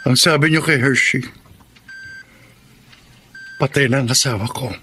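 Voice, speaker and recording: An actor playing a wounded, bitter husband in a Filipino radio drama, with an emotional, melodramatic delivery. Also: male voice, mid-range voice, unhurried, sharp tone, a little hiss or hum. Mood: hurt, subdued.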